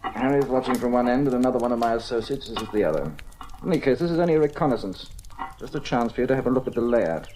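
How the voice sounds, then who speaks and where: Radio-drama villain: very low female voice, swooping intonation, suave and menacing, commanding schemer, noisy old recording. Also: expressive, very fast. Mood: smug, briskly instructing. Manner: suave, articulate, condescending, menacing. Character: cool, scheming, commanding criminal ringleader plotting a theft.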